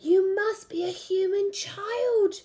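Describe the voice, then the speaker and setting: Woman reading as a high-pitched, courteous storybook wolf. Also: takes it slowly, darkish tone. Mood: welcoming, curious. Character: polite, hospitable last wolf in a storybook.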